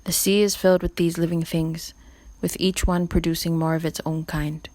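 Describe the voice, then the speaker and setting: Lower-pitched woman reading scripture evenly. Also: level tone, normal speed, darkish tone, dry recording. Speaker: volunteer scripture reader.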